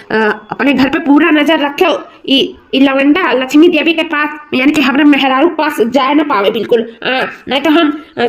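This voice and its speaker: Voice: high voice, close to an everyday voice. A performer voicing a hot-headed, boastful village husband in a comedy cartoon, with a rural Awadhi accent and repetitive, colloquial talk.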